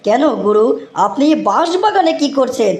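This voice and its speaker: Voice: high voice, expressive, moderate pace, echoey room, crowd noise. An actor voicing a swaggering young village tough in a comic cartoon, declaiming like a folk-theatre hero with a rural Bangladeshi accent. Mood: melodramatic.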